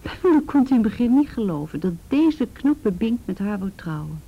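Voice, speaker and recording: A woman playing an ashamed, abused teenage girl in a radio drama, halting and repeating herself, often sinking to a breathy whisper. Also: on the high side, sing-song, dull audio, full character voice. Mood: bitter, hesitant. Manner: halting, repeats words, tag questions. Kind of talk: naturalistic radio drama acting.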